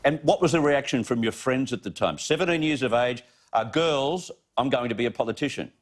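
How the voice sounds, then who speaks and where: A man's voice: mid-range voice, fairly quick. A man hosting a talk radio show, chatty and teasing as he asks questions. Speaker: radio host.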